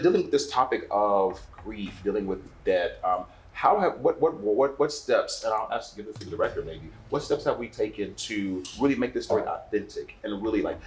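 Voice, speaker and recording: A man's voice: fairly low pitch, rough recording. A man hosting a conversational magazine interview.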